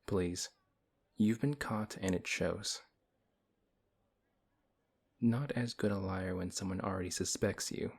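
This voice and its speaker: Man acting as a deep, husky, stern paladin. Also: fairly even intonation, heavily acted. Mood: stern, skeptical. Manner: stern, measured, dryly skeptical. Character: big strong male paladin, principled, wary, reluctantly helpful. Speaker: audio roleplay performer. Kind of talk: ASMR audio roleplay acting.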